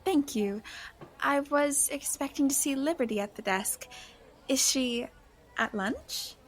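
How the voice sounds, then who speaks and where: Anxious high-voiced young heroine. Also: female voice. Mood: guarded, polite. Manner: hesitant, halting. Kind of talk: audio drama acting.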